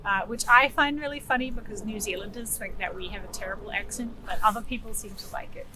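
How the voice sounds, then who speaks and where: A woman's voice: mid-range voice, fast talker. A woman talking casually and with amusement in an interview, with passing traffic behind her. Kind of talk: casual chat.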